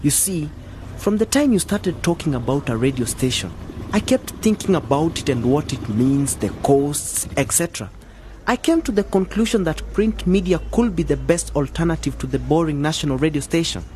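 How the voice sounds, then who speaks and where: An actor playing an earnest youngster in an educational radio drama, explaining ideas to friends in a reasoning manner. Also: male voice, a little high, lively intonation, moderate pace, a little mellow, slight background noise, lightly acted. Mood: persuasive.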